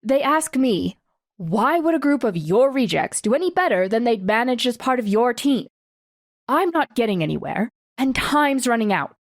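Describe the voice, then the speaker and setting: A woman's voice: fairly high pitch, very animated, moderate pace, no echo, studio quality. A woman playing a guarded, determined young mother in an audiobook drama, speaking with restraint and firmness. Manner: restrained, firm. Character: guarded, determined super-powered mother standing up to ex-husband. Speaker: audiobook voice actor.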